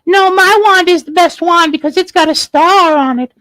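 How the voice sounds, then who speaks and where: A man voicing a dainty, self-assured female fairy in falsetto for a children's story. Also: normal speed, sharp tone, no room sound. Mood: insistent.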